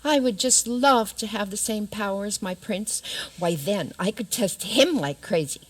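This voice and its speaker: Woman as coy fairy-tale princess, confident, theatrical radio play, medium pitch, animated. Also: measured pace. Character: coquettish, self-assured fairy-tale princess. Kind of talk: community radio theater acting.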